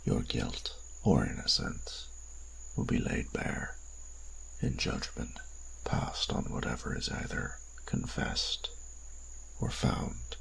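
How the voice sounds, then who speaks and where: An extremely deep, croaking, husky voice for an ancient vampire inquisitor in an audio drama. He pronounces each phrase slowly and formally, cold and stern. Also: a bit bright, full character voice, poor audio. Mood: solemn, accusing. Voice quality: very deep gravelly rasp. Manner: slow, formal, solemn pronouncements. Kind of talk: dramatic audio roleplay acting.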